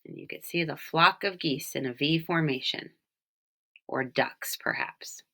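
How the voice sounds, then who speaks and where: A woman reading a children's story aloud expressively, with deliberate emphasis on key words. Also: low voice, vocal fry, bright, forceful tone. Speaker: storybook reader, teacher reading for home learning.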